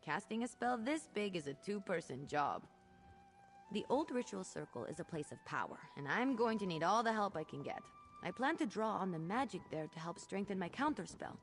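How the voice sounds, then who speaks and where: An actress voicing an eager, playful young apprentice mage in a video game, expressive and chatty. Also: female voice, mid-range voice, moderate pace, faint music behind, close to an everyday voice. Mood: upbeat, explaining. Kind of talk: video game dialogue acting.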